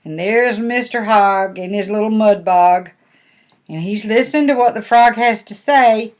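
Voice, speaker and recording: Slow-talking older woman reading a picture book. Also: mid-range voice, darkish tone. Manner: folksy, asking the listener questions. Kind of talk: storybook read-aloud with chatty asides.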